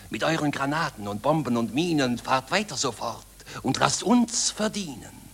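A man's voice: mid-range voice, lively intonation, moderate pace, slightly rough. A man acting a cynical, gloating war profiteer in a radio play, reciting sardonic singsong rhymes. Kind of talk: stylized rhymed radio drama.

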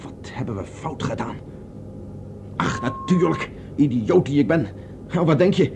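An actor playing a self-assured, impatient space pilot in a Dutch radio drama, brisk and commanding as he explains things. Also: fairly high pitch, expressive, creaky, noisy background. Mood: urgent, alarmed.

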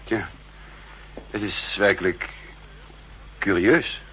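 An actor playing a troubled, introspective spy in a measured, dramatic 1960s radio drama style. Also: male voice, on the low side, bright, forceful tone, slight background noise, poor audio. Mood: brooding, uneasy. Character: troubled male spy, brooding over his lost identity. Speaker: narrator and protagonist.

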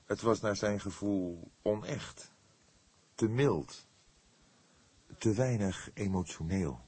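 Deep, husky male audiobook narrator. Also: mellow, no room sound. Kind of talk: dramatic scripted narration of a crime novel.

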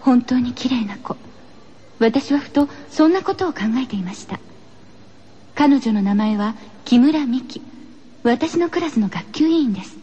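A woman's voice: on the high side, animated, a little slow, warm tone, muffled recording, a little hiss or hum. A woman playing a firm but uneasy female schoolteacher in a radio horror drama, narrating and speaking in character. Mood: hushed, eerie narration.

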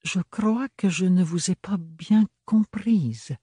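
A man's voice: on the high side, lively intonation, a little slow, warm tone, no echo, pitched above the performer's own voice. A man voicing a tired, elegant noblewoman who speaks in a honeyed, mocking way. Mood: languid. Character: weary elegant noblewoman, sly and languid.

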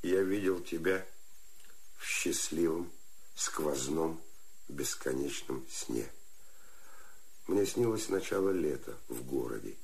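Very deep, gravelly lovesick man poet. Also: animated, a little mellow, a little hiss or hum, exaggerated voice. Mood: dreamy adoration. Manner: halting, dramatic declamation in short phrases. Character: feverish, lovesick, regretful male poet. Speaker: actor in a radio play. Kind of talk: theatrical poetic radio play acting.

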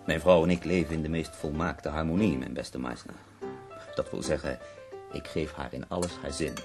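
An actor playing a dying, bedridden poet in a radio play, theatrical and wry, complaining with witty self-pity. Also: male voice, extremely low pitch, steady tone, moderate pace, over music. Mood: teasing.

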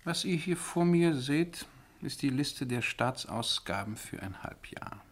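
A man's voice: mid-range voice, animated, measured pace. An actor playing a pompous, thrifty king in a German radio play, grand and condescendingly jovial in manner. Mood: measured, confiding. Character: pompous, thrifty male monarch of a tiny state.

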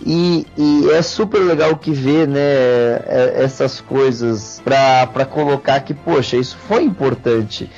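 Brazilian guy with a high gravelly voice explaining stuff on a podcast, kinda dull lo-fi sound. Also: takes it slowly, hardly any pauses, a little mellow. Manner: explanatory, detailed. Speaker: podcast host. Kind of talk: casual podcast discussion. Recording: dull lo-fi audio with music.

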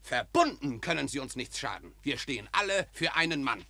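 A man's voice: medium pitch, very animated, fairly quick, gravelly, bright, forceful tone, full character voice. An actor playing a bold soldier who rallies his comrades, declaiming rhyming verse in a classic radio play. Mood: rousing.

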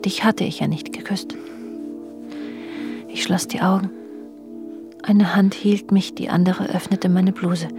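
Woman narrating a radio play, lowish voice, sober, intimate, a bit bitter, music behind. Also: steady tone, a little slow, long pauses. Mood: tense, restrained. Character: introspective woman recalling her troubled youth, bitterly observant. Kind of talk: literary first-person radio drama narration.

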